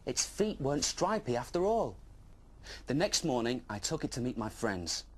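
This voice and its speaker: A man's voice: medium pitch, sing-song, normal speed. A man voicing an eager, wide-eyed young dragon who tells his adventure with childlike wonder in a children's storybook. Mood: eager, amazed.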